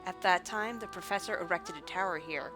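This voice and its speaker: A woman's voice: on the low side, brisk, music in the background, close to an everyday voice. A woman voicing a solemn, ancient guardian woman from a fantasy game, telling of old tragedy in formal, grave words. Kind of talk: fantasy game dialogue read aloud.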